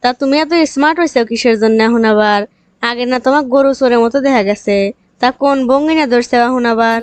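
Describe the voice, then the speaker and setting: A woman's voice: a little high, fast, no echo, lightly acted. A woman voicing a scornful young interviewer and bitter ex-girlfriend in a cartoon, scolding and sarcastic, with a rural Bangladeshi accent. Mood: bitter accusing. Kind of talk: cartoon comedy dialogue.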